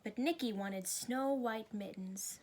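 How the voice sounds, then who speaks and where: High-voiced woman reading a children's story. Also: slow, a little mellow. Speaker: storybook reader. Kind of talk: children's story read aloud.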